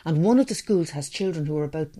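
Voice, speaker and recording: A woman's voice: sits low, lively intonation, fast talker, warm tone. A middle-aged Irish woman, an interview guest, talking chattily and with enthusiasm about her training business. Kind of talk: casual interview chat.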